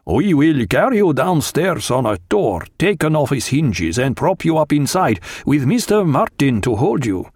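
A man's voice: a little high, big pitch swings, normal speed, few pauses, a little mellow, husky, no room sound, clean studio sound, pitched above the performer's own voice, heavily acted. A man voicing an obliging, eager young cavalry officer with a polite, reassuring manner in an audiobook.

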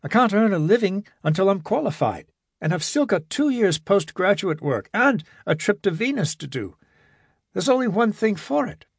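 A man's voice: sits high, big pitch swings, moderate pace, creaky, husky, dry recording, clean studio sound, voice pushed up, full character voice. A man voicing an eager, self-assured young man in an audiobook reading.

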